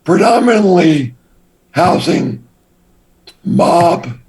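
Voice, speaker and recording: Older man with a very raspy, gravelly voice. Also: high voice, big pitch swings, a little mellow. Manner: measured, deliberate storytelling. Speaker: interview guest. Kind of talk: recounting his past in an interview.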